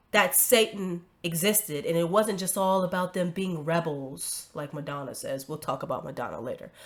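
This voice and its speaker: A woman's voice: medium pitch, a bit bright. A woman hosting a commentary video, talking casually with a stuffy nose from a cold, emphatic and chatty with frequent tag questions.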